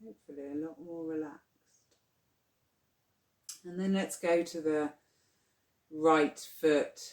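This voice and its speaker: A meditation guide speaks very slowly, leading deep relaxation with gentle, soothing instructions. The delivery is unhurried and spacious. The recording has a noticeable roomy echo around the voice. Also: fairly even intonation. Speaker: yoga nidra guide. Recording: roomy, echoing sound.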